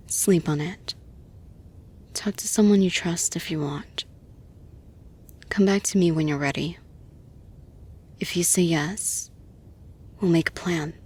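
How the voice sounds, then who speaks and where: Woman acting slow, breathy, caring lady boss. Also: lowish voice, a little mellow. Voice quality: breathy, husky. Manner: slow, deliberate, reassuring. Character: caring, composed female boss gently offering support. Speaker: audio roleplay performer. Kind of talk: intimate roleplay, soft-spoken and measured.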